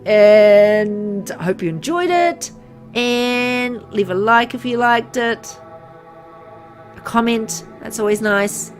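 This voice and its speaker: A woman playing a visual novel on video, making relaxed, chatty asides to her viewers. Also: on the high side, takes it slowly. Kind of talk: casual let's-play commentary. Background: game music.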